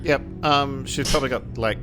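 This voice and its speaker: A woman's voice: a bass voice, normal speed. A woman playing a tabletop roleplaying game, casually narrating her character and chatting at the table. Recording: prominent music behind voice. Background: music.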